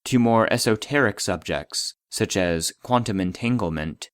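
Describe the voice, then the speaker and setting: A man voicing an impersonal database, reading formal classified reports in a measured, clinical manner. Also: on the low side, dry recording, studio quality, close to an everyday voice. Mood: clinical, detached. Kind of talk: scripted narration of a formal report.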